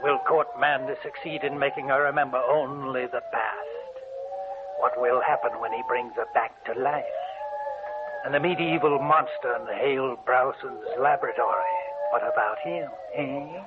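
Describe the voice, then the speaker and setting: An actor playing a creepy old hermit who hosts an old-time radio horror show with theatrical, cackling narration. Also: male voice, mid-range voice, normal speed, close to an everyday voice. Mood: gleefully sinister. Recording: muffled, very noisy vintage radio recording.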